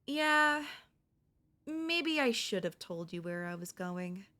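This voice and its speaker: A woman's voice: mid-range voice, bright, forceful tone, exaggerated voice. A woman voicing a cute, affectionate young ghost girl in an intimate ASMR audio roleplay, casually frank and hesitant. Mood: regretful. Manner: casual, hesitant, matter-of-fact about grim things. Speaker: voice actor.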